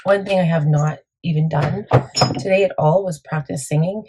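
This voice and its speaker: A woman with a gravelly morning voice, chatting casually through a makeup tutorial with lots of fillers. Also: steady tone, moderate pace, very noisy. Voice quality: gravelly, deep. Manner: chatty, rambling, many fillers. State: morning voice, a bit crackly. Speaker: beauty vlogger.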